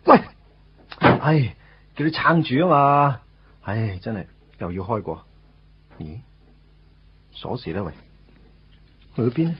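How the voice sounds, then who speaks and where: A man's voice: mid-range voice, slow pace, pauses often, a little hiss or hum, natural voice. An actor playing a wry, put-upon young man in a Cantonese radio drama, conversational and self-mocking with a Hong Kong accent. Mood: exasperated. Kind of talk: radio drama narration and dialogue. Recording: dull radio recording with music underneath.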